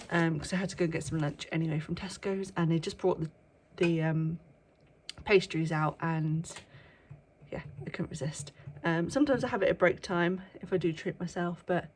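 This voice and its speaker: Young woman, vlogger, a touch low-pitched, nasal from a cold, chatty and hushed at times. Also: slight background noise. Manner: chatty, with frequent ums, sometimes hushed. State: bunged up with a cold. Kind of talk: casual chat to camera. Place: workplace indoors, early morning.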